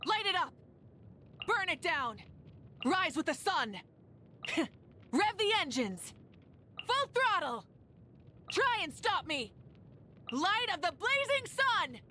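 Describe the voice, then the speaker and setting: A young woman voicing an earnest, resolute female warrior leader in a video game, speaking with composed, measured delivery. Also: very high voice, big pitch swings, bright, forceful tone, a little hiss or hum, heavily acted. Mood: battle cry. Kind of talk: video game character voice lines.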